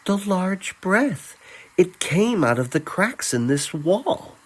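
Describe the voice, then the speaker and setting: Man voicing a timid young woman, pitched high. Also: big pitch swings, takes it slowly, breathy edge, heavily acted. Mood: startled, curious. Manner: soft, hesitant, wondering. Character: timid, sheltered young woman, awestruck and tender. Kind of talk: dramatic fantasy audio story.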